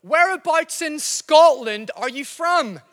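A man's voice: very high-pitched, lively intonation, slow pace, fairly bright tone, long reverb tail, pitched above the performer's own voice, full character voice. A man voicing a confident middle-aged dad at a family dinner, chatty and keen to impress his family. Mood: eager.

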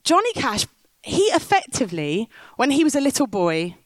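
A woman's voice: high-pitched, very animated, moderate pace, no room sound, rough recording. A woman preaching on stage, telling a story and rousing the crowd. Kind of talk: sermon with storytelling. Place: stage in a big top tent.

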